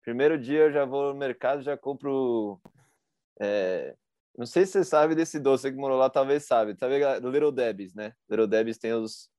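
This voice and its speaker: A Brazilian man hosts the conversation in a chatty, slangy, enthusiastic way, tossing in casual asides. His voice sits at an ordinary middle pitch. The sound is dry and close-miked. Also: moderate pace, dark tone, no room sound. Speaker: podcast host. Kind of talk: casual interview chat.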